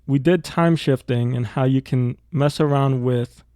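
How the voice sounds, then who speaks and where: Male tutorial narrator, mid-pitched, informal and explanatory, recorded close and dry. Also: measured pace, mellow, dry recording. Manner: explanatory, thinking aloud while working. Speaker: audio production tutor.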